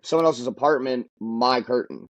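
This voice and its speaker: A man's voice: mid-range voice, normal speed, dry recording. Male guest from Long Island, playful ribbing, muffled dry audio. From Long Island, New York. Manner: joking, teasing bandmates. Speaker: band member, guest. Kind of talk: casual interview chat.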